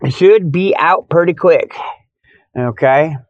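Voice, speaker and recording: Man, fairly high voice, folksy rambling monologue, jokey, dull lo-fi sound, parked truck. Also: takes it slowly, no room sound. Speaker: podcast host. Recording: dull, muffled lo-fi audio.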